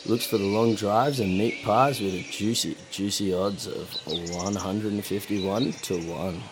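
Low gravelly aussie guy cohosting, chatty and jokey. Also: steady tone, a little slow, noisy background. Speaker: podcast co-host. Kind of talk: casual banter.

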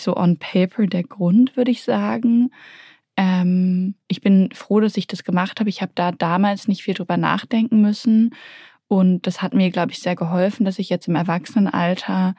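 A woman speaks as a reflective interview guest. Her voice is mellow and fairly low, with little melody. She feels her way through sentences and sometimes slips into English. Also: steady tone, unhurried, no room sound, studio quality. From Berlin, Germany. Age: 20s. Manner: hesitant, slipping in English phrases. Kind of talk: reflective conversational interview talk.

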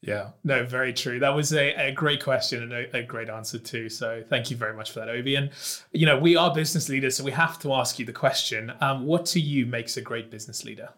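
A man's voice: medium pitch, a little fast, hardly any pauses, bright, forceful tone. A man hosting an interview, introducing segments and asking questions with light joking asides. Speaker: interview host.

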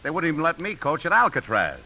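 An actor playing a sardonic, breezy ex-coach turned pool hustler in a 1950s radio drama. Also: male voice, on the high side, wildly swooping pitch, fast talker. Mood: bitter, sardonic. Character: cynical, wisecracking washed-up football coach turned pool hustler. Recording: muffled, hissy old 1950s radio recording.

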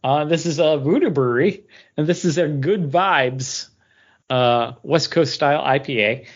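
A man's voice: on the high side, measured pace, lo-fi sound. A man co-hosting a podcast with casual banter, telling stories and acting out bits of dialogue. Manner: animated storytelling, acting out dialogue. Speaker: podcast co-host.

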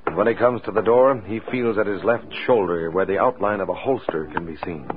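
A man's voice: fairly low pitch, brisk, slight background noise. A man announcing and narrating in scripted 1940s radio style. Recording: tinny vintage radio recording. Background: music.

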